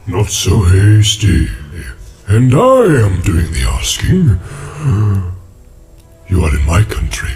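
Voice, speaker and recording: A man voicing an ancient, ponderous male tree giant in an audiobook, cautious and deliberate, humming and musing between phrases. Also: fairly low pitch, wildly swooping pitch, darkish tone, faint music behind, heavily acted. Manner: slow, deliberate, drawn-out hums and musing repetitions. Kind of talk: audiobook character acting.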